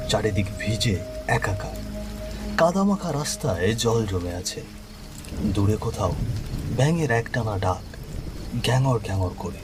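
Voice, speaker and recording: A man's voice: on the low side, expressive, measured pace, pauses often, music in the background. A man narrating a scripted horror story in a dramatic, suspenseful manner.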